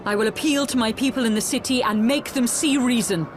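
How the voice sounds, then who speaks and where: A woman's voice: fairly high pitch, brisk, close to an everyday voice. An actress playing a noble, remorseful female rebel leader in a fantasy video game, earnest and formal in her speech. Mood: urgent, determined. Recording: music behind the voice. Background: loud game score.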